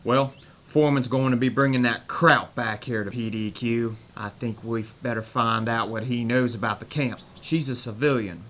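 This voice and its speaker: A terse, commanding American army major in a war audio drama, played by a man. He has a mid-pitched voice and an American accent, with faint noise on the recording. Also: fairly even intonation, normal speed, fairly bright tone, lo-fi sound, natural voice. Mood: brisk, commanding. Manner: authoritative, terse.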